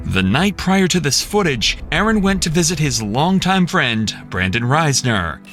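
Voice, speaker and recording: A man giving measured, dramatic scripted narration for a true-crime documentary. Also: a little high, sing-song, a bit bright. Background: music.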